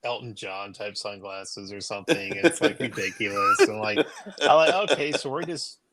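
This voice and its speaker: A man's voice: mid-range voice, huge pitch swings, normal speed, a crowd in the background. A middle-aged man co-hosting a podcast, trading casual comedic banter. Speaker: podcast co-host.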